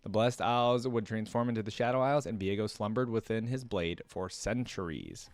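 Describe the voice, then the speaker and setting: A man's voice: on the low side, fairly quick, dry recording. A man hosting a reaction video, casually commenting and reading aloud in a conversational way. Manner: conversational, reacting with interjections as he reads.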